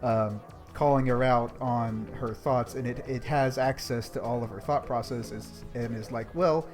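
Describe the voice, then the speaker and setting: A man co-hosting a casual chat, explaining plot in a relaxed way with lots of "like" and "kind of". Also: medium pitch, a little slow, over music. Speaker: podcast co-host. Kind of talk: casual discussion.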